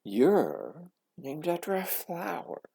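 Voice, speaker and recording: A man's voice: fairly high pitch, huge pitch swings, slow pace, creaky, mellow, slightly rough, voice pushed up, exaggerated voice. A man voicing a snooty know-it-all schoolgirl mouse bully in a children's storybook, haughty and smug.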